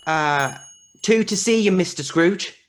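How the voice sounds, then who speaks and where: A man's voice: sits high, measured pace, a bit bright, slight background noise. A man playing a meek, kindly clerk in a radio play, speaking hesitantly and politely. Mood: announcing.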